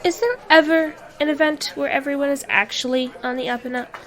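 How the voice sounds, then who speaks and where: Woman acting as kindly goddess, earnest, gentle, higher-pitched, audio drama, light crowd behind. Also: fairly even intonation, brisk. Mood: weary, serious. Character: earnest, compassionate goddess running a magical tattoo shop.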